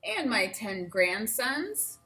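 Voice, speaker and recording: A regal grandmother princess from a children's storybook, voiced by a woman reading aloud. Her voice is on the high side, and she gives orders in a commanding, decided way. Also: sing-song, slow pace, pushed and bright, faint music behind, heavily acted. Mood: reckoning, decisive. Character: royal grandmother princess, commanding, eager to go camping.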